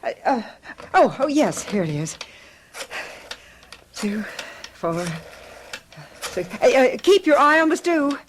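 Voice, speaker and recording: A high-pitched, very animated housewife in a radio play. She is fretful and hesitant, worrying aloud and pressing her point. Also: slow pace, pauses often, slight background noise, exaggerated voice. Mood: eager, flustered. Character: anxious housewife troubled by a feeling about missing friends. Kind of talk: radio drama acting.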